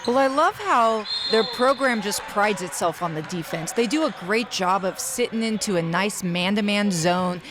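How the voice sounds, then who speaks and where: A woman's voice: medium pitch, normal speed, few pauses, noisy background. A woman giving color commentary at a basketball game, explaining plays with enthusiasm.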